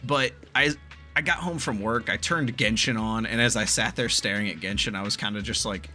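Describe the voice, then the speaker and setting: A man giving casual, animated gameplay commentary as a streamer, swearing freely as he reacts. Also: on the low side, brisk, soft background music. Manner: animated, profane, reads game dialogue aloud.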